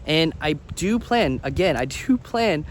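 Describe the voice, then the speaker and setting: A man's voice: fairly high pitch, expressive, a little slow, slight background noise. A man giving casual, instructional advice to viewers as a language-learning vlogger, repeating words for emphasis.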